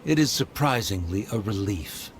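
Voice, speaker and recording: A man's voice: on the low side, lively intonation, slight background noise, close to an everyday voice. Man playing a haughty, cold scholar. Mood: disdainful. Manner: pompous, measured. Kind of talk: video game voice acting.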